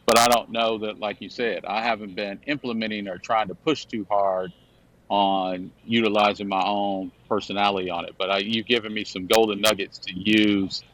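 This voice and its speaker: A man's voice: on the low side, steady tone, moderate pace, a bit bright, rough recording. A man speaking as a podcast guest, agreeable and thoughtful, often answering with short affirmations. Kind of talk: casual interview chat.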